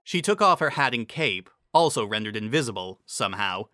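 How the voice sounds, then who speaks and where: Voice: mid-range voice, very animated, fairly quick, sharp tone, dry recording, studio quality. A narrator giving a dramatic scripted reading of a fantasy story. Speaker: narrator reading fan fiction.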